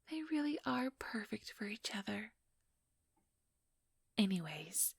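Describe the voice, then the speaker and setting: A woman voicing an ambitious, teasing vampire in an ASMR roleplay, breathy and whispery, with a sugary, mocking condescension. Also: medium pitch, dry recording, clean studio sound, full character voice. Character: ambitious, teasing female vampire, smugly scheming.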